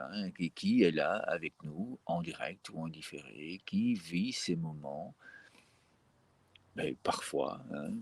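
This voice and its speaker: A man with a very low, gravelly voice leads a guided breathing session. He speaks gently and unhurriedly, often pausing on fillers and starting phrases over. The sound is husky and dry, close and free of room echo.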